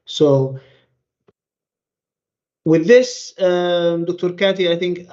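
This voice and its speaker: Guy presenting at a conference over a call, higher voice, lots of uhs and restarts, muffled dull audio. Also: darkish tone. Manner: halting, many fillers and restarts.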